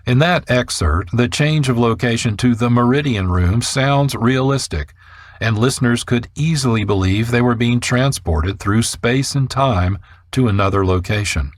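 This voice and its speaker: A man's voice: medium pitch, no room sound. A man reading scripted documentary narration as the narrator of a radio programme.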